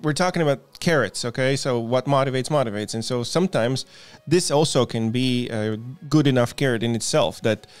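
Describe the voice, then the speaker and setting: A man's voice: medium pitch, moderate pace, hardly any pauses, smooth, soft background music. A man with a Latvian accent hosting a morning show, chatting casually in English with many fillers and frequent laughs. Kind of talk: casual chat.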